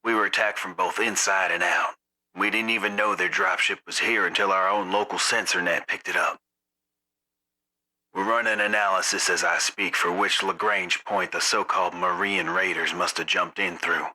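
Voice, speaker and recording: A man's voice: deep voice, fairly even intonation, normal speed, bright, forceful tone, full character voice, rough recording. A man playing a stern military officer in a tabletop game, reading a formal, official emergency radio bulletin in a clipped manner. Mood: urgent, grim.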